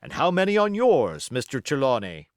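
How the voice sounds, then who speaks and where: Gruff, commanding sea captain played by a male narrator, terse orders, animated delivery, storybook adventure. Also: mid-range voice, moderate pace, no echo, studio quality, pitched above the performer's own voice. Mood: gruff, impatient. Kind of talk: audiobook character acting.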